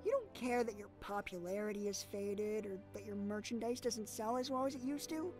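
A woman voicing a timid, earnest teenage boy who speaks hesitantly in an animated parody dub. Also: mid-range voice, normal speed, natural voice. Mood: earnest, hopeful. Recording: music behind the voice.

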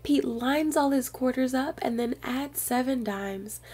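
Lady doing kids storytime read aloud, highish voice, really warm tone, bit husky, expressive. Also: unhurried. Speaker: storyteller reading to children. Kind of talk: picture book read-aloud for young children.

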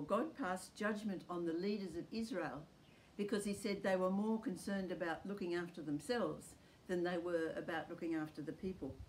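Older Australian woman, lowish voice, earnest home church teacher, faint outdoor air behind. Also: a little hiss or hum, low-quality recording. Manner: calm, earnest teaching delivery. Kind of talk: recorded home church talk. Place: outdoors in a rural backyard garden.

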